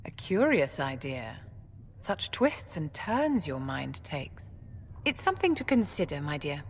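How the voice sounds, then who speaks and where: An actress playing an elegant, imperious middle-aged enchantress in a video game, with a haughty, deliberate manner. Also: female voice, low voice, very animated, full character voice. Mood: cool, condescending. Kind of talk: video game dialogue acting. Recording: noisy, long hall reverb.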